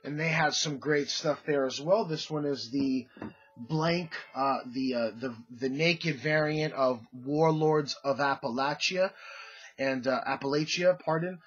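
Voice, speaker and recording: Casting: stuffy-nosed guy, husky voice, rambling casual collector vlog, dull lo-fi sound. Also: a little high, a little slow. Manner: rambling, many fillers and restarts. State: congested, under the weather. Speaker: comic collecting vlogger. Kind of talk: casual show-and-tell haul video.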